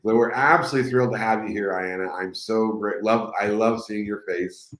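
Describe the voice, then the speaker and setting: A man's voice: lowish voice, fairly even intonation, a little fast, roomy sound, crowd noise. A man hosting a live interview, enthusiastic and rambling, often restarting his sentences. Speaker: host.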